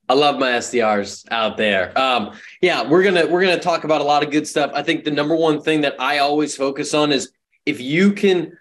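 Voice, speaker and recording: A man giving casual sales advice as a webinar guest in a friendly, upbeat way. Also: on the high side.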